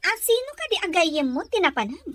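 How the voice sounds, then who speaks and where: A voice actress plays a scolding, suspicious Filipino woman in a family radio drama. Her voice is high and swings widely as she presses her point insistently. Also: big pitch swings, a little fast, fairly bright tone, a little hiss or hum, heavily acted. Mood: jealous, scolding.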